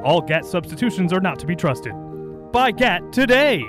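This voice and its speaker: High-pitched and animated, a man plays an over-excited toy announcer. It is a parody radio commercial over clearly audible music. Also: normal speed. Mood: urgent disclaimer.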